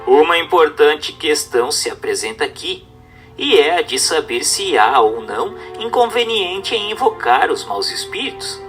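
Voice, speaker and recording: Brazilian male narrator, slightly high and bright, for formal scripted religious audiobook reading with music underneath. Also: very animated. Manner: formal, measured, didactic. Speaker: narrator reading a book aloud.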